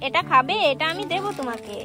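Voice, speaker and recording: A woman's voice: high-pitched, expressive, fairly quick. A woman voicing a kindly visiting lady doctor doll, coaxing and reassuring as if to children in a doll-play cartoon. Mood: soothing, coaxing. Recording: music behind the voice.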